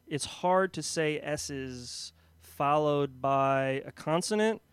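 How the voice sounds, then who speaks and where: A man's voice: fairly high pitch, slow, clean tone, no echo. A man from Iran, a podcast co-host, telling stories in casual chat and laughing often. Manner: animated storyteller, laughs often.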